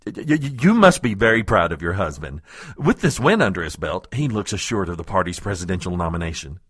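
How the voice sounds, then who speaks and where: A man playing a flustered aide, swooping pitch, husky. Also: very animated, fast talker, a bit bright, dry recording, studio quality, heavily acted. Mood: flustered, evasive. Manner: nervous stammering, stiffly formal. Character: flustered, self-important married male political aide fending off advances. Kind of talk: comedic audio drama acting.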